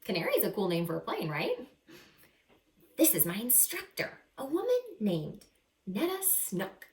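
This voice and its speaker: Female teacher, expressive story-time read-aloud, animated intonation, chatty asides to kids, echoey room. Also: medium pitch, moderate pace. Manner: animated, acts out characters, stretches key words. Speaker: second-grade teacher reading aloud. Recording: roomy echo, some distance from mic.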